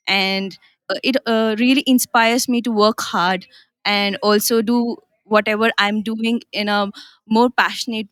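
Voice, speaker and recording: A woman's voice: mid-range voice, no echo. A young woman with an Indian accent, answering earnestly as an interviewee in a short interview. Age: young adult. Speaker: interviewee, graduate student. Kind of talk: conference mini interview.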